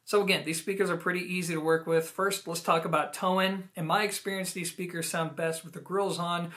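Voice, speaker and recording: A man's voice: high voice, level tone, a little fast, a bit bright. A man hosting a product review, explaining things conversationally to viewers with emphatic, personal opinions. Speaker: audio gear reviewer and host. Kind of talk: video product review, explanatory talk to camera.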